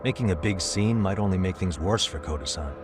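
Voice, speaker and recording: A man's voice: low voice, brisk, lightly acted. An actor playing a cool, confident male private detective, casual and plainspoken, in a video game drama. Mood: firm, assured. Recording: music behind the voice.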